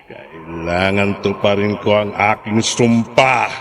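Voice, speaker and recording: Slow, gravelly evil male spirit. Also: on the low side. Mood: menacing, defiant. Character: evil vengeful male spirit, cruel, gloating, menacing. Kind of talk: radio horror drama acting.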